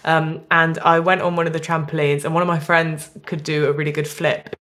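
A woman's voice: low voice, flat delivery, brisk, a bit bright. A woman in her twenties, a comedian chatting casually in an interview with a wry, self-deprecating, rambling manner. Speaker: stand-up comedian being interviewed. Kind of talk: casual chat.

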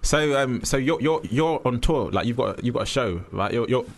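A man's voice: mid-range voice, expressive, normal speed. A young man hosting a radio show, talking in a hesitant way full of fillers and restarts. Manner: hesitant, filler-heavy, friendly. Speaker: radio host. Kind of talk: casual radio chat.

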